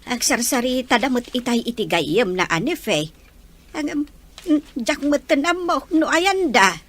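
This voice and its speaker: A woman's voice: high voice, expressive, measured pace. A woman acting an elderly grandmother, caring but scolding, in an audio drama with an Ilocano accent. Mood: caring, explaining.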